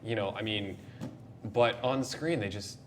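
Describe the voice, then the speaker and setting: A man's voice: fairly low pitch, a little slow, a little hiss or hum. A man hosting a casual, opinionated panel chat, emphatic and jokey, with playful exasperation. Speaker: host of a video game and movie discussion show.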